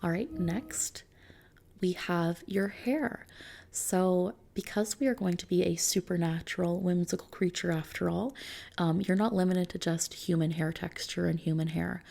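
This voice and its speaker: A woman speaking softly and often near a whisper in an ASMR roleplay, gently reassuring like an attentive consultant. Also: fairly low pitch, unhurried, creaky. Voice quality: soft, hushed, often slipping into whispers. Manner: gentle, reassuring, attentive client-service talk. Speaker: ASMR roleplay performer playing a customization consultant. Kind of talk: soft-spoken ASMR roleplay.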